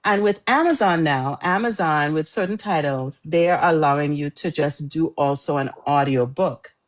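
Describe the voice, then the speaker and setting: A woman's voice: low voice, moderate pace. A middle-aged woman from Trinidad, an author chatting as a guest in an interview, talkative and laughing often. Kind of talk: casual interview chat.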